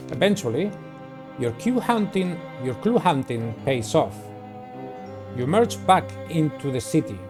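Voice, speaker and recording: Male game-playthrough host, slightly high pitch, narrates on-screen story text with casual asides, soft music underneath. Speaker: video game player and host. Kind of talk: reading a visual novel aloud with casual commentary. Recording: music playing behind the voice. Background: background music.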